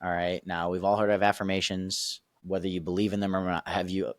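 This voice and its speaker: Low-voiced man coaching with emphatic check-ins. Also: level tone, fairly quick, dry recording. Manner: emphatic, checks in with "okay?" and "right?", laughs easily. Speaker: podcast guest, accountability coach. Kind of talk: casual podcast explanation.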